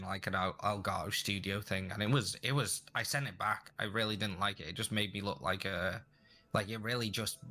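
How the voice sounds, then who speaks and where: A man's voice: lowish voice, bright, forceful tone. A man chatting as a podcast guest about streaming, rambling with frequent restarts. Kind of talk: casual interview chat.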